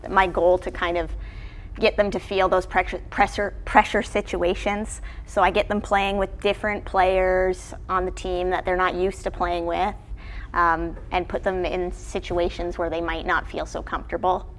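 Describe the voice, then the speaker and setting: Female coach as interview guest, lowish register, casual delivery, noticeable background noise. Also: flat delivery, normal speed. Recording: clearly noisy background ambience.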